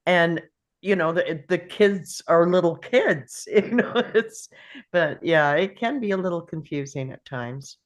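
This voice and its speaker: A woman's voice: on the low side, expressive, measured pace. A woman talks in an informal, conversational way. She sounds like one participant in a casual group chat, speaking without a script.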